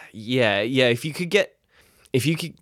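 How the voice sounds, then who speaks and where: Lively man role-playing, with swooping pitch. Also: slow. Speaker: player in an improvised role-playing audio drama. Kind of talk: casual improvised role-play chat.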